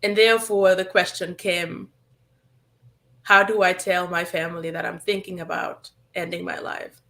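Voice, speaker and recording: A woman's voice: on the low side, level tone, moderate pace, creaky, fairly bright tone. A woman therapist from Uganda, whose first language is Acholi, speaking earnestly and reflectively as an interview guest. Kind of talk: interview answers.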